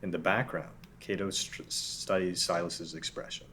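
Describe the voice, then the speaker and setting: A man reads the stage directions of a script plainly at a table read. His voice is very deep. Faint room noise sits behind him. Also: fairly even intonation, normal speed, bright, forceful tone. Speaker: narrator.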